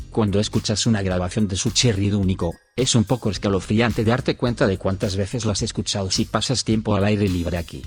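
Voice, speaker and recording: A man reading internet stories aloud in a steady, even scripted narration. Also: lowish voice. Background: soft background music.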